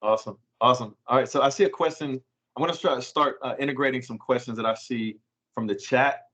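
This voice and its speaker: A man's voice: mid-range voice, fast talker, a bit bright. Middle-aged man, panel moderator, upbeat, informal, casual asides, video call, slightly muffled audio.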